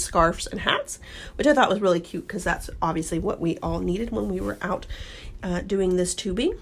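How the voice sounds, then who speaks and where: A woman narrating her scrapbook layout step by step in a casual voice-over, her voice hoarse and crackly from being sick. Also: fairly low pitch. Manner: relaxed, step-by-step explaining. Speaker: scrapbooking channel host.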